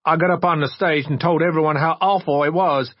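A man's voice: medium pitch, fairly even intonation, unhurried, slightly rough, no echo, dull audio, pitched above the performer's own voice. A man voicing a drunken, despairing middle-aged father, slurring and tearfully pleading in self-pity.

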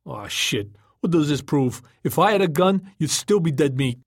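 Guy doing a pissed off young dude, cursing and threatening, voice pushed up high, audiobook character. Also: expressive, normal speed, no echo, studio quality. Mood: disgusted, defiant. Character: angry, foul-mouthed young man, hot-tempered buddy.

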